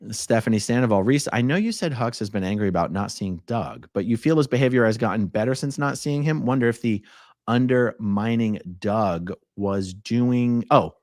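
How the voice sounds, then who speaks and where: A man's voice: mid-range voice, normal speed, darkish tone, dry recording, studio quality. A man hosting a live stream, reading viewer messages and commenting in a casual, blunt, conversational way.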